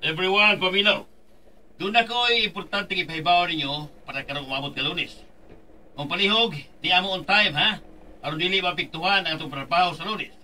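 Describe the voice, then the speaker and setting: A man's voice: sits high, fairly quick, pushed and bright, faint music behind, low-quality recording. An actor with a Cebuano accent playing a curt, domineering male office manager in a radio drama. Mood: brisk. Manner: curt orders mixing English.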